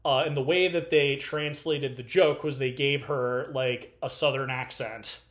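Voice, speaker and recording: A man's voice: medium pitch, measured pace. A man with a Californian accent chatting casually as a podcast co-host, full of fillers and restarts. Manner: rambling, filler-heavy, animated. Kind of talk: casual conversation.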